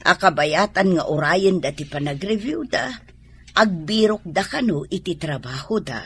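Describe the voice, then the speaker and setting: A woman playing a proud, contented wife and mother with a raspy voice and an Ilocano Filipino accent in a radio drama. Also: on the low side, expressive, moderate pace, fairly bright tone, exaggerated voice.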